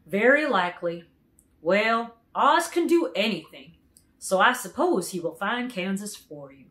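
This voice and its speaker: A woman voicing an emphatic, awed countryman who knows of a great wizard, in a deliberate storybook read-aloud. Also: medium pitch, lively intonation, fairly bright tone. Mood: confident, explaining.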